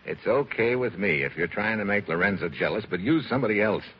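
A man's voice: on the low side, expressive, fast talker, natural voice. A man playing a wry, tough, unflappable American secret agent with an American accent and wisecracking, slangy manner in a 1940s radio drama. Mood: wry, cool. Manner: hard-boiled, slangy, wisecracking. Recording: dull, noisy old radio recording.

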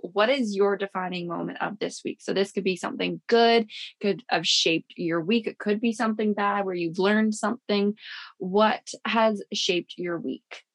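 A woman's voice: medium pitch, fairly even intonation, moderate pace, vocal fry. A woman hosting a podcast interview, introducing her guest and asking questions in an upbeat, encouraging, conversational way. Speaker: podcast host.

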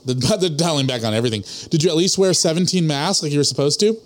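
A man's voice: on the high side, expressive, quick pace. A young man co-hosting a podcast in casual banter, full of sarcastic ribbing. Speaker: podcast co-host.

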